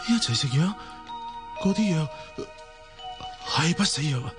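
A man's voice: fairly high pitch, animated, a little slow. A man playing an urgent, lovestruck young man in a melodramatic Cantonese radio drama, with a Hong Kong accent. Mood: pained, dazed. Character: ageless young man regaining past life, lovestruck, frantic. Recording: music under the voice.

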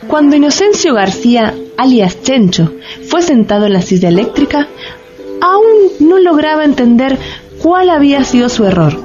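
A woman playing the narrator of a dark satirical tale in amateur radio theater. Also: sits high, big pitch swings, normal speed. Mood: grave storytelling. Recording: dull recording with clear music behind.

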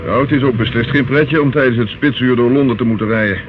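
An actor playing a methodical London police detective in an old radio play, measured, matter-of-fact and firm. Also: male voice, mid-range voice, natural voice. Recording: muffled old radio recording, faint noise.

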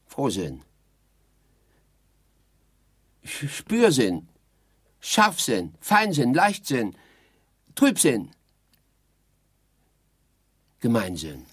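A man's voice: medium pitch, wildly swooping pitch, slow, fairly bright tone, slightly rough, heavily acted. An actor playing an exasperated, impatient man trapped in the afterlife in a radio drama, speaking emphatically. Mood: searching. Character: exasperated newly dead man trapped with his tedious companion. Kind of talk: theatrical radio drama dialogue.

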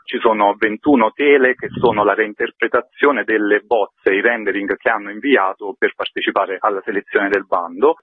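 A man explains his project as an interviewed curator. His voice is fairly low and husky, heard over a narrow, phone-like radio line. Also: dry recording. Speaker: art exhibition curator being interviewed.

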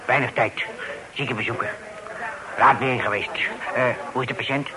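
An actor playing a brusque, hurried island doctor who speaks in clipped telegram phrases in a radio drama. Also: male voice, mid-range voice, fairly even intonation, a crowd in the background, close to an everyday voice, poor audio. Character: curt, hurried male island doctor and bailiff.

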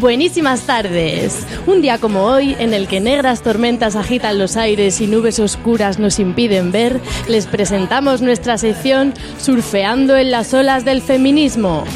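A woman's voice: a little high, unhurried, loud music behind the voice, natural voice. A woman playing a playful, mock-grand presenter of a comic radio segment. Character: bubbly, parodic radio presenter of a feminist comedy segment.

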